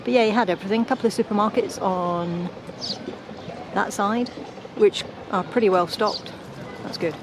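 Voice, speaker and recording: A woman's voice: mid-range voice, normal speed. A woman giving casual, chatty travel commentary on a handheld camera outdoors. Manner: chatty, informal. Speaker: travel vlogger, sailor. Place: outdoors at harbours and old ruins. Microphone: handheld camera microphone. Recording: clear outdoor background noise, camera audio.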